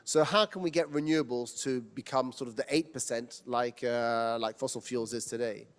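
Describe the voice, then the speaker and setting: A man from Tunisia who speaks with a British accent, moderating a question session after a lecture. He talks conversationally and hedges a lot, circling with fillers before landing on his question. His voice sits at an ordinary male pitch. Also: normal speed. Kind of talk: moderating a panel Q&A.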